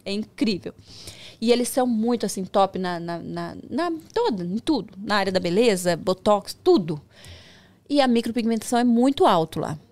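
Podcast guest woman, animated storyteller, voice leaps up when excited, relaxed chatty delivery. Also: mid-range voice, normal speed. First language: Portuguese. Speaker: podcast guest, micropigmentation professional. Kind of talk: casual conversation.

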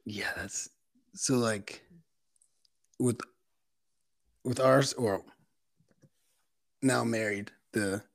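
Slow-talking man with a lowish voice. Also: dry recording. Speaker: podcast co-host. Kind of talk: casual chat.

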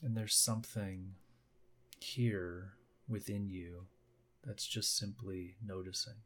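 A man leading a guided meditation, speaking gently and unhurriedly in short phrases with long pauses. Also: low-pitched, fairly even intonation.

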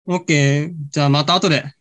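Guy acting a young man in an amateur radio drama, highish voice, hesitant loyal friend type. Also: steady tone, brisk, fairly bright tone, lightly acted. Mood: casual, teasing.

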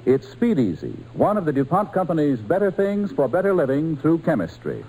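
A man's voice: on the high side, huge pitch swings, a little fast, rough recording. A man reading a radio commercial as a polished 1940s announcer with a salesmanlike delivery. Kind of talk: 1940s radio advertising read.

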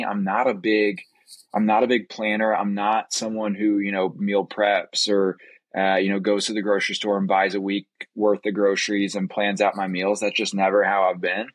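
A young man with a fairly low voice talks informally and openly as a podcast guest. He is talkative and conversational, and the sound is close and dry with very little room. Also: dry recording. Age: young adult. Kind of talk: casual conversational personal storytelling.

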